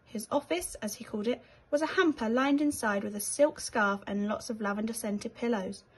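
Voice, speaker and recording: Slightly husky British woman reading a story. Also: fairly high pitch, moderate pace. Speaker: teacher reading to children. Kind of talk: expressive children's storybook narration.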